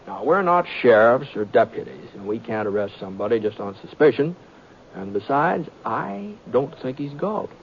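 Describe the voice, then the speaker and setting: Halting, folksy cowboy, old radio. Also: male voice, mid-range voice, big pitch swings, slight background noise. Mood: hesitant, conciliatory. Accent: American. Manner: halting, folksy, stammering drawl. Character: mild, hesitant, fair-minded Western cowboy hero. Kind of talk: 1950s Western radio drama acting and narration. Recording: dull vintage radio recording with music.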